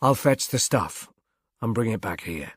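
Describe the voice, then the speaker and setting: A man's voice: on the low side, expressive, unhurried, breathy edge, no echo. A man voicing a civilized grown son in a storybook, casual and wry, with a light, self-deprecating manner. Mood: obliging.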